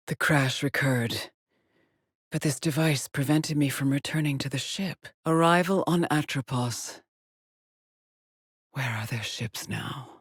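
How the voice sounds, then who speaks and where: An actress voicing a weary, driven middle-aged woman exploring space in a video game, with a husky, clipped and solemn delivery. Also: very deep voice, no room sound, studio quality, exaggerated voice. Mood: haunted, brooding. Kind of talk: dramatic game voice lines, terse log entries.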